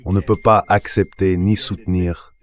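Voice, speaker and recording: A man's voice: low voice, level tone, normal speed, close to an everyday voice. A man's synthetic dubbing voice, speaking as a guest giving translated interview commentary.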